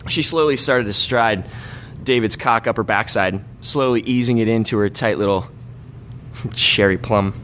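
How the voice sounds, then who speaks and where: A man's voice: fairly low pitch, a little slow, fairly bright tone, a little hiss or hum. A man doing a live reading of a bawdy comic story, stumbling over words and breaking into laughter now and then. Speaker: comedy troupe member reading own story.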